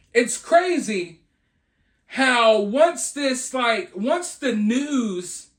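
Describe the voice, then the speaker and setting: A man's voice: extremely high pitch, fairly bright tone, echoey room. A man hosting a news commentary video, addressing viewers in an emphatic, dramatic way.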